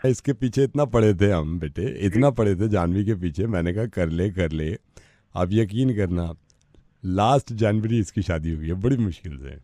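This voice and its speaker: A man's voice: low-pitched, expressive, moderate pace, dark tone, no room sound, studio quality. A man chatting casually in a conversational phone exchange.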